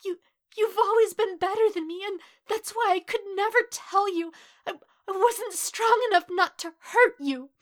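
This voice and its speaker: A woman's voice: very high-pitched, normal speed, voice pushed up. A woman voicing a timid, anguished teenage boy in a dramatic story reading, stammering and pleading through tears. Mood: desperate misery. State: crying. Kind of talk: dramatic fan fiction reading.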